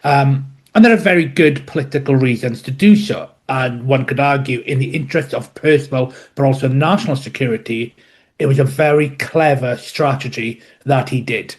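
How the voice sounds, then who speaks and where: A man from West Wales with a Welsh accent, a historian explaining his subject in a podcast interview with measured, dryly humorous asides. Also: medium pitch. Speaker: guest historian.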